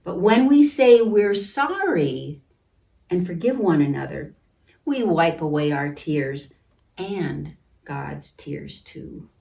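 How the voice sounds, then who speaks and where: A woman reading a picture book aloud to children in a gentle, deliberate manner, pausing to comment and ask questions. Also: deep voice, expressive, gravelly. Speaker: children's storytime reader at a church. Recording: distant microphone.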